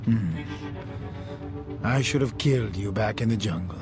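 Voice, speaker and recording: A man's voice: lowish voice, unhurried, close to an everyday voice. A man playing a weary, sardonic secret agent in a video game, with a dry delivery. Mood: irritated. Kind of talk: video game cutscene acting. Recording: music behind the voice.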